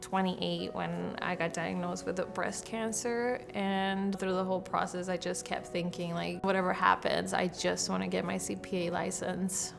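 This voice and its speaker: A woman tells her own story in an interview. Her voice is very creaky and fairly flat in pitch. Music plays clearly underneath. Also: normal speed, hardly any pauses. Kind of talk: interview testimonial.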